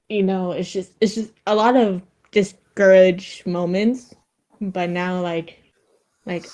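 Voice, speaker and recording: A woman's voice: lowish voice, a little mellow, rough recording. Giggly woman with a slight Vietnamese accent. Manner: halting, many restarts and fillers, giggly. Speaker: streamer and podcast guest. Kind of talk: casual chat.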